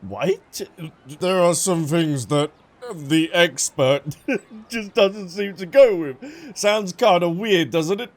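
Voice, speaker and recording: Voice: mid-range voice, expressive, slow, slight background noise. A game streamer giving casual, playful reaction commentary with a jokey, chatty manner on a close microphone. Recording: faint game music behind.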